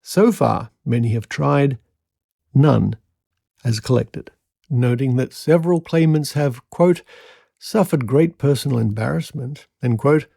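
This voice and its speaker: A man's voice: mid-range voice, takes it slowly, vocal fry, mellow, no room sound. A man hosting a podcast, reading out old newspaper clippings with dry, wry asides. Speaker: podcast host.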